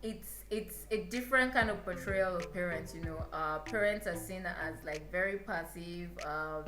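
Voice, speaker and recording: A woman's voice: lowish voice, echoey room. A young woman from Nigeria, chatting casually to camera in her vlog and repeating words for emphasis. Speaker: vlogger.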